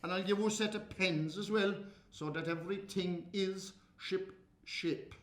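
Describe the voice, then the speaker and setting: Irish guy doing a loud jolly shopkeeper, high voiced, gushy, roomy echo. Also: steady tone, unhurried. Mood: bossy. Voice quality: loud, gushing, high-pitched. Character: jovial booming male hardware shopkeeper, middle-aged. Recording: echoey room.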